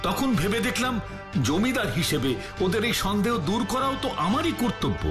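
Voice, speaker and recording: A man's voice: high voice, sing-song, brisk. A man voicing a distressed, dignified village landlord in a cartoon, pleading and dramatic. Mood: aggrieved, recounting. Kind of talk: cartoon voice acting in Bengali. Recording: roomy echo, music underneath.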